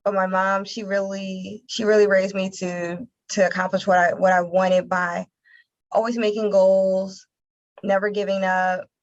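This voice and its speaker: An American woman from Philadelphia answering interview questions conversationally, haltingly repeating words as she thinks. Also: mid-range voice, flat delivery, dull audio. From Philadelphia, United States. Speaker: interview guest, nonprofit communications director.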